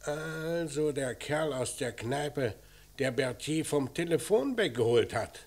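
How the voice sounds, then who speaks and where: An actor playing a brisk, probing police inspector who questions and orders tersely in an old German crime radio play. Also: male voice, fairly high pitch, animated, full character voice. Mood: matter-of-fact.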